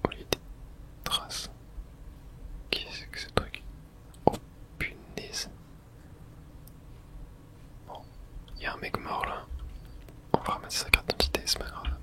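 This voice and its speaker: A man whispers throughout, with almost no voice in the breath. He gives ASMR commentary as he plays a video game. His remarks come short and hushed, as if he is thinking aloud. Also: slow pace, long pauses.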